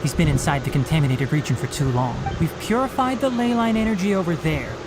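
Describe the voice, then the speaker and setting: A man voicing a calm, clever young forest ranger in a video game, measured and precise. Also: on the high side, lightly acted. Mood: clinical. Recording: game audio with noise.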